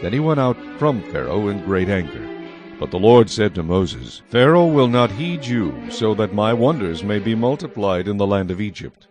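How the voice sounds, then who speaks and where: Lowish, expressive male scripture narrator. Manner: measured, solemn storytelling. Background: music.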